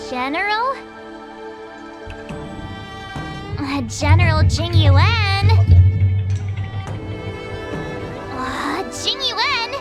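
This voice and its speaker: A high, girlish voice for an anime-style game heroine. She is an excitable, naive young adventurer, bubbly and chatty, with music playing behind her. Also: slow, exaggerated voice. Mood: puzzled. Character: perky, excitable, naive teenage adventurer girl.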